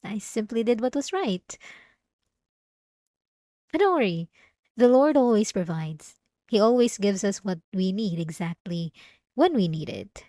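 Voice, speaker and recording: A woman plays a demure young church girl in an intimate ASMR roleplay, speaking slowly in a close, dry recording. She is pious and over-polite, hesitating as she addresses the listener, with a clingy devotion under the sweetness. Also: mid-range voice, expressive, dark tone, no echo, close to an everyday voice. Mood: coy, eerily pleased.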